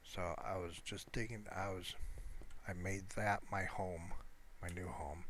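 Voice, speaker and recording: Slow, deep-voiced man rambling over gameplay. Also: steady tone, fairly bright tone, a little hiss or hum. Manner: hesitant, rambling, low-key. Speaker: gamer showing a Minecraft world. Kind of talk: casual gameplay commentary.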